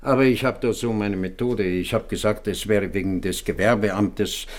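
A man's voice: lowish voice, steady tone, moderate pace, lightly acted. A man playing a wary but obliging barrel-organ maker, chatty and slightly fussy, in a German radio crime drama.

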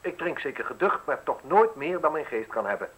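An actor playing a self-assured, mocking man in his thirties in a radio drama, speaking deliberately with ironic, theatrical pauses. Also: fairly high pitch, big pitch swings, a bit bright, exaggerated voice, poor audio. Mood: defiant, amused. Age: 30s. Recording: husky-sounding radio play recording.

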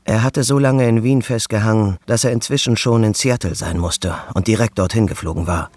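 A man's voice: on the low side, a little fast, a little mellow, lightly acted. An actor playing a terse man in a corporate radio drama, speaking scripted lines.

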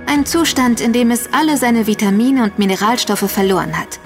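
A female narrator gives scripted advice straight to the listener. Her voice is fairly high, with music playing underneath. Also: a little fast.

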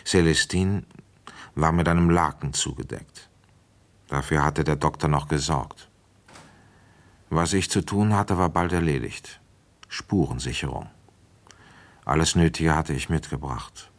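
A man acting as a methodical police inspector in a radio play, speaking in a measured, confiding way. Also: rumbling low. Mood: matter-of-fact. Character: methodical male police inspector, musing and dutiful. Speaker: radio drama actor, narrator and lead. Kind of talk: German radio play narration and dialogue.

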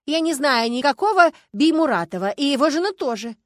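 A woman's voice: high-pitched, animated, brisk, no room sound, clean studio sound, voice pushed up. A woman voicing a greedy, hysterical wealthy heiress in an audiobook, demanding and indignant. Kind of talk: audiobook character voice.

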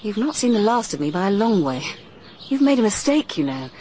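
A woman playing a brisk girl sleuth. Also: medium pitch, big pitch swings, dark tone, slight background noise, close to an everyday voice. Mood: determined. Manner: crisp and insistent. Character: brisk, determined young amateur sleuth woman.

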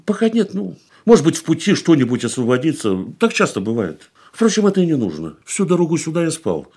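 Radio play: weary consoling man, husky, lively melodic delivery, quiet and reflective. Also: on the high side, fairly quick, exaggerated voice. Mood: gentle, reflective. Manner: soft, reflective, calls her 'darling' tenderly. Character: weary worldly middle-aged man, gentle, consoling. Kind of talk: studio radio drama acting.

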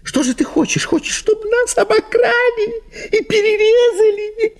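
A man's voice: extremely high pitch, normal speed, voice pushed up. A man voicing a hysterical, prudish wife who pleads and scolds melodramatically in an audio play. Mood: exasperated, pleading. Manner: breathless, pleading, melodramatic exclamations. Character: hysterical, prudish, indignant wife at a country house.

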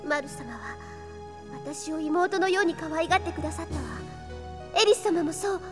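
Anime princess girl, really high voice, earnest and stubborn, music behind her. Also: animated, normal speed. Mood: pleading, firm. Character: earnest, headstrong twelve-year-old princess, devoted and polite. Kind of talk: Japanese anime audio drama acting.

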